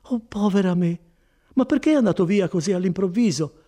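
A distraught peasant woman of middle age acted out by a male reader, pitched high and swinging widely as she pleads. She wails and exclaims her way through each line, as in a dramatised storybook. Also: expressive, fairly quick, warm tone. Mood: anguished, pleading. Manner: wailing, exclamatory. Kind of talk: dramatised audiobook reading.

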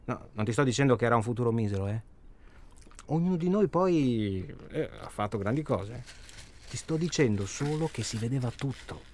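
A male actor as a self-absorbed man on a hunt, with a middling pitch. He rambles in a colloquial, naturalistic radio-drama way, hesitating and stalling, quick to get irritable. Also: expressive, darkish tone, close to an everyday voice. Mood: wistful, musing. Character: self-absorbed, stalling male tourist hunting human prey.

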